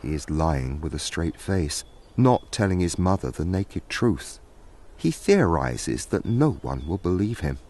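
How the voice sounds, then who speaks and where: A man's voice: deep voice, big pitch swings, measured pace, warm tone. A man narrating a scripted fantasy story. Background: faint music.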